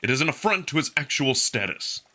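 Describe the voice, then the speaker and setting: A man's voice: mid-range voice, big pitch swings, a little fast, sharp tone, voice pushed up. A man voicing a big, hot-tempered, protective male wolf alien in a visual novel, speaking in a stern, curt, indignant way. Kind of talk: visual novel character acting.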